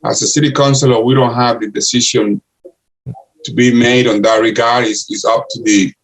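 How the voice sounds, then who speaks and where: An older man from the Republic, answering interview questions in halting English full of restarts. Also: mid-range voice, steady tone, rough recording. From Dominican Republic. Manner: halting, many restarts and uh fillers. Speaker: guest, city councilor and candidate.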